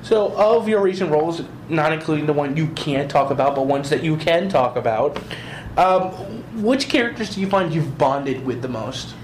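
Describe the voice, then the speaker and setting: Low-voiced joking woman interviewer. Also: lively intonation, moderate pace, darkish tone. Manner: playful, joking. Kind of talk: casual interview. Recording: echoey room with faint background noise.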